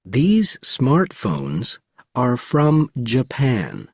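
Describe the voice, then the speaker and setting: A man reading textbook example sentences slowly and deliberately for a recorded lesson. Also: mid-range voice, slightly rough. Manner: very slow, deliberate reading with lively intonation. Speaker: recorded audio narrator.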